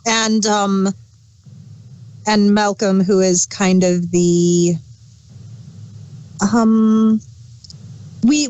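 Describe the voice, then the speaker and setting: Slow-talking woman, fairly low voice. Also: a little mellow, rough recording. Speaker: podcast co-host reviewing audio dramas. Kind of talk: casual unscripted chat.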